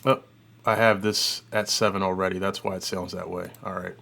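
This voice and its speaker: A man hosting an audio software tutorial, talking informally and thinking aloud as he works through a demo. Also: deep voice, level tone, moderate pace, vocal fry, loud music behind the voice. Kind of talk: casual demo commentary.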